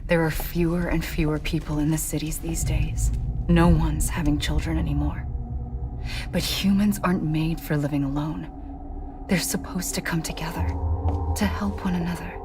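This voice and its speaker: An actress playing an earnest, wistful woman in a video game, speaking solemnly and intimately in a soft, breathy voice. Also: deep voice. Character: earnest, wistful woman pleading for human connection. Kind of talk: cinematic video game cutscene acting.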